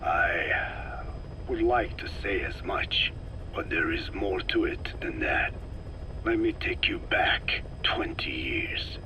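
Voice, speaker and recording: Game role: century-old scholar, whispery and low, formal, measured storyteller, on noisy tape. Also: male voice, pushed and bright, exaggerated voice. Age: older. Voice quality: breathy, whispery, aged voice. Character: ancient soft-spoken scientist kept alive by parasites. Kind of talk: dramatic video game dialogue. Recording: noisy cassette tape with vehicle-like rumble.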